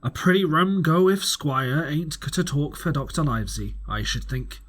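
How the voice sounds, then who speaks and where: A man's voice: a little high, animated, normal speed, fairly bright tone. A man voicing a blunt, grumbling gamekeeper with a growl in a storybook.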